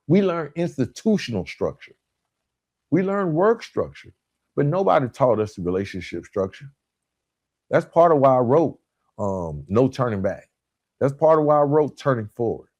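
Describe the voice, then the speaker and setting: A man's voice: medium pitch, darkish tone. Earnest male motivational podcast host. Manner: earnest, emphatic, speaking in short deliberate phrases with repetition. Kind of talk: motivational self-improvement podcast monologue.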